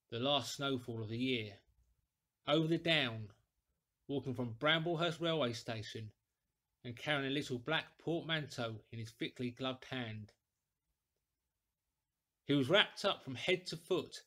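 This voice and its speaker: A man reading a classic story aloud in a deliberate, theatrical, clearly articulated way. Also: medium pitch, a bit bright. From England. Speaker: audiobook reader, actor. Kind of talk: dramatic scripted storytelling.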